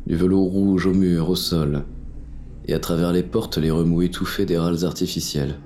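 A man's voice: low-pitched, fairly even intonation, normal speed, dark tone, close to an everyday voice. A man playing a cynical, wounded fugitive in noir audio fiction, narrating in the first person with crude, terse French slang. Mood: grim, cynical. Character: hard-boiled, cynical, wounded male fugitive with a gun. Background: music.

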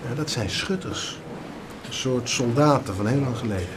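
A man's voice: mid-range voice, moderate pace, noisy background, close to an everyday voice. A man playing a patient father who explains things to his young son in a radio drama. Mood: patient, instructive.

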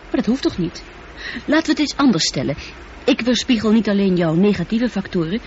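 An actress the playing a highly intelligent, humanlike female spaceship computer in a radio play, speaking in measured, articulate sentences. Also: lively intonation, darkish tone, lightly acted. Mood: reassuring. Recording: dull noisy old radio play recording.

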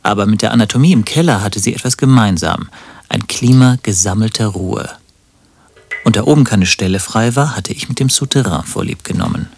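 An actor playing a wry, composed first-person narrator in a German radio play, measured and deadpan. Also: male voice, lowish voice, gravelly, a little hiss or hum. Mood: wry, calm.

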